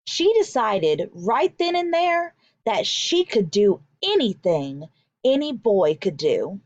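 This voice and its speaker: A woman teacher reading aloud to children in an expressive, deliberate, emphatic way. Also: fairly high pitch, no room sound, dull audio. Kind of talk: expressive children's read-aloud with vocabulary explanations.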